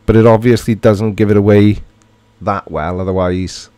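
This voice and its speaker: Welsh middle-aged male streamer, lowish voice, joking and chatty, dry close sound. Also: steady tone, moderate pace, warm tone, clear, resonant tone, no echo. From Wales. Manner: chatty, joking, reads viewer comments aloud. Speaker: livestream host. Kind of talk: casual live chat reading comments.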